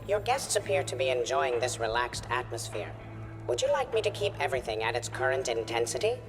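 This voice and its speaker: Game role: obliging female machine assistant, precise, very low register, music behind. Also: very animated, a little fast, heavily acted. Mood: courteous. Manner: formal, precise. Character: courteous, obliging female artificial intelligence assistant. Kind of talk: video game acting.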